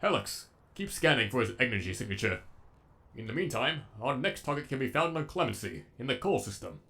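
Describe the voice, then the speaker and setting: A man's voice: medium pitch, lively intonation, fairly quick, creaky, pushed and bright. A man voicing a sadistic, erudite robot villain with an eloquent, menacingly polite delivery, as in a comic dub. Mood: cold, brisk.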